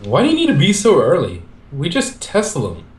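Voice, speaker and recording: A man's voice: fairly high pitch, wildly swooping pitch, normal speed. A man reading fan fiction aloud, acting out the dialogue casually. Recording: echoey room.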